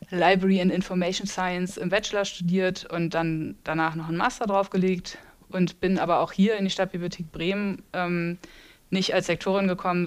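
Eine Frau aus Norddeutschland um die dreißig, eine Bibliothekarin, die locker und mit vielen Füllwörtern im Podcast erzählt. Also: female voice, lowish voice, smooth. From northern Germany. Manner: hesitant, many fillers. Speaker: librarian. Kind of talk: casual podcast chat.

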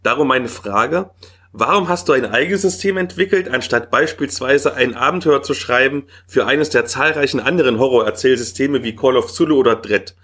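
A man with a husky, breathy voice at an average pitch. As the host, he leads the conversation with friendly, orderly questions and measured phrasing. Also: a bit bright. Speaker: podcast host, interviewer. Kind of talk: podcast interview.

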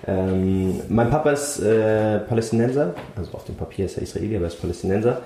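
This man has a low, mellow voice. He chats casually as a podcast guest, plain and matter-of-fact with a teasing edge. The sound carries a roomy echo. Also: fast, a little hiss or hum. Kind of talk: casual chat.

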